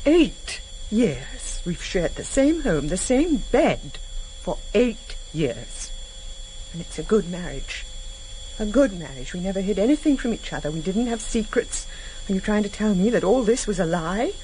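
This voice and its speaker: An actress playing a proud, wounded and defiant wife in a British radio drama, speaking insistently. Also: female voice, mid-range voice, sing-song, measured pace, warm tone. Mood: hurt disbelief. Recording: noisy vintage radio recording.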